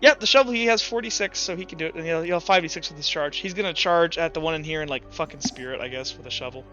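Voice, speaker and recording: A man's voice: on the high side, fast talker. A man speaking as game master in a casual, profane, informal way, narrating tabletop action and making rulings. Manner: profane, informal, animated storytelling. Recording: slightly dull, music playing underneath. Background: background music.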